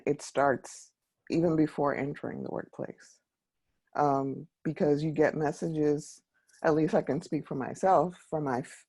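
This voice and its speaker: A woman from Jamaica talks slowly and thoughtfully as a panelist. Her voice has a gravelly, fried edge. She is heard over a video call. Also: sits low, darkish tone. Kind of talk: panel discussion.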